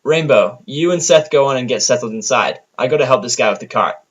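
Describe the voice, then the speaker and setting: A man's voice: mid-range voice, lively intonation, fairly quick, fairly bright tone, slightly rough. A man voicing a laid-back, teasing female unicorn pony in an audio drama, casual and dry. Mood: easygoing.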